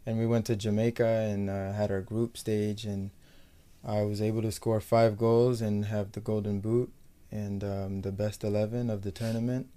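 A young man from the United States speaks as an athlete in a casual interview. His voice sits fairly low and stays quite flat in pitch. He answers in a measured, matter-of-fact way, with a soft, mellow tone. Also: clean tone. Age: young adult. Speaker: professional footballer being interviewed.

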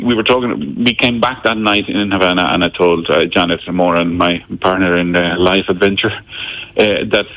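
A man from El Salvador speaking English as a radio guest, talking haltingly with many restarts and fillers. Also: deep voice, a bit bright, rough recording. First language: Spanish. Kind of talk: radio interview.

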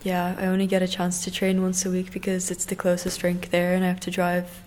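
A woman in her late teens from Ireland and Russia, answering interview questions conversationally and pausing to find her words. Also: fairly low pitch, monotone, a little mellow, a little hiss or hum. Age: teens, around 17. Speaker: interview guest, competitive figure skater.

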